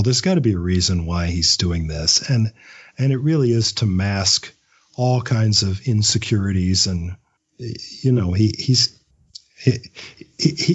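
Male interview guest, writer type, husky and reflective, conversational delivery, slightly dull recording. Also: lowish voice. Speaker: interview guest, audio drama writer and voice actor.

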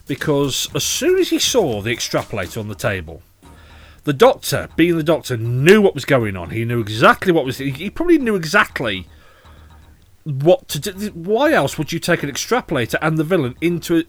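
A man co-hosting a podcast in casual chat, animated and opinionated, quick to joke. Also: a little high. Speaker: podcast co-host.

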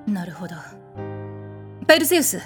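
A woman's voice: medium pitch, sing-song, moderate pace, faint music behind, lightly acted. A woman playing a haughty, blunt warrior goddess in a comedic audio drama, speaking in an imperious, curt way with a protective streak. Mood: solemn. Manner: imperious, commanding, curt masculine phrasing.

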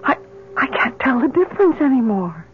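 An actress playing a tormented grieving mother in an old radio drama, halting and fearful. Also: sits high, very animated. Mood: afraid, hesitant. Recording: dull, very noisy old radio recording.

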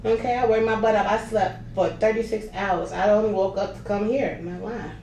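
A woman's voice: mid-range voice, fairly even intonation, fairly quick, darkish tone. A middle-aged woman co-hosting a casual chat show, telling stories with lots of laughter. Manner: laughing, chatty storyteller. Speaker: co-host. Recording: roomy, a bit distant, faint noise.

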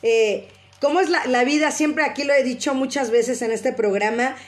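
A woman's voice: high voice, normal speed. A woman with a Mexican accent hosting an interview, speaking encouragingly and congratulating her guest. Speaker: show host. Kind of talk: interview conversation.

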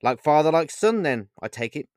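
A man playing a distraught, pleading man, raised pitch. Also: very animated, no room sound, studio quality. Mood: insistent, realizing. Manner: emotional, halting, repeating words. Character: distraught, tearful man confronting a god, pleading and accusing. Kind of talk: dramatic fantasy storybook dialogue.

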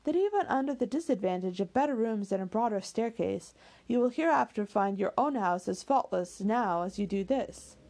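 A woman voicing a sensible young gentlewoman from a period novel, measured, formal and dryly polite. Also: mid-range voice, lively intonation, close to an everyday voice. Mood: dry, composed.

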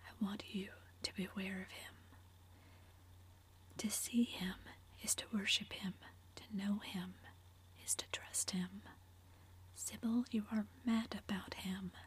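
A woman's voice: mid-range voice, flat delivery, slow, long pauses, close to an everyday voice. A woman whispering the part of a sullen, protective sixteen-year-old brother in a storybook reading. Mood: warning.

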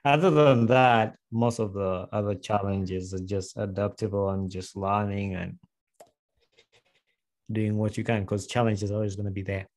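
A young man from Uganda talking casually as an interview guest, quick and rambling with restarts. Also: on the low side, fairly even intonation, a little mellow. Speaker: guest, aircraft mechanic.

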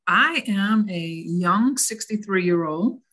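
A woman's voice: medium pitch, slow, fairly bright tone, slightly rough. A woman in her sixties from New Orleans with a New Orleans accent, chatting as an interview guest and telling her story with easy laughs. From New Orleans, Louisiana. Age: 60s. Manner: chatty, laughs easily, adds "okay?" and "right?".

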